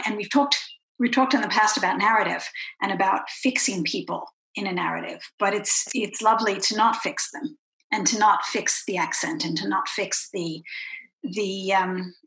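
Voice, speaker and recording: A woman's voice: mid-range voice, creaky, fairly bright tone, rough recording. A woman with an American accent interviewing an author, reflecting aloud with many restarts. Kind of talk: conversational interview.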